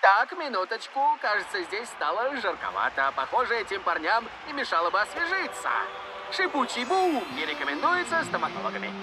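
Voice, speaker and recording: A performer voicing an excitable cartoon presenter who hypes action figures in a toy advert. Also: mid-range voice, brisk, background noise, close to an everyday voice. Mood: playful hype. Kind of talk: animated cartoon dubbing, promotional presenting. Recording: music playing behind the voice.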